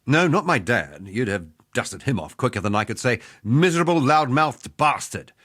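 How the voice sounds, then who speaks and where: A man's voice: mid-range voice, big pitch swings, normal speed, a bit bright. A man playing a cocky, jovial middle-aged mercenary weapon master in a video game, with wry, boastful, roguish banter. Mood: grudging admiration. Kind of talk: scripted game character dialogue.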